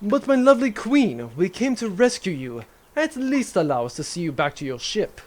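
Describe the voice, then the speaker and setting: A man's voice: sits high, very animated, normal speed. A man playing a childish, boastful space captain who squabbles petulantly in a comic audio drama.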